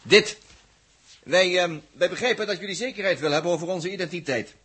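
A man's voice: sits high, a little fast, a bit bright, in a large hall. An actor playing a bold male space traveller bluffing as a stern inspector in a 1960s radio play. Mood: commanding. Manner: commanding, emphatic, rising to stern orders. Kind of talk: 1960s radio drama acting.

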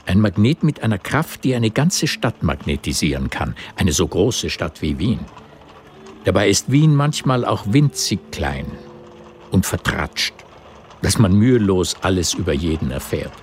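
A man's voice: low voice, sing-song, unhurried, a little mellow, a little hiss or hum. A man narrating a literary radio drama in scripted, present-tense prose.

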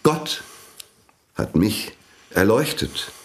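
A man's voice: on the low side, very animated, extremely slow, fairly bright tone, reverberant, heavily acted. An actor in a radio play playing a pompous, fanatical priest who preaches his invented world language in an imperious way. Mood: exalted, imperious.

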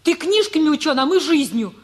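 An actress playing a folksy, distrustful, sharp-tongued older female relative who grumbles scornfully in a radio play. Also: high-pitched, animated, fairly quick. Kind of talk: stage-style radio play acting.

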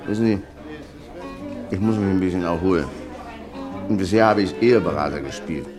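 An actor in a radio play, playing a shrewd, self-satisfied and suspicious man with a deliberate, confiding manner. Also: deep voice, over music, natural voice. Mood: gossipy.

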